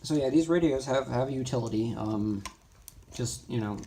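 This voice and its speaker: A man with a creaky, gravelly voice walks through a hands-on tutorial in a loose, informal way. His explanations ramble a little and lean on conversational asides. The recording is clearly noisy, with clicks and typing. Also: mid-range voice, normal speed. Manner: informal, rambling explanations with frequent "you know". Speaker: radio tutorial presenter. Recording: noisy, with keyboard and clicking sounds.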